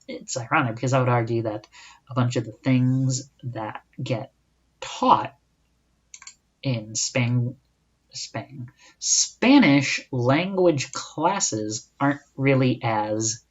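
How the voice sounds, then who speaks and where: A man's voice: medium pitch, slightly rough. A young man talks through a solo podcast in an easy, chatty way. He often tosses in rhetorical asides and corrects himself mid-sentence. The recording sounds a bit dull and lo-fi. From United States. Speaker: podcast host.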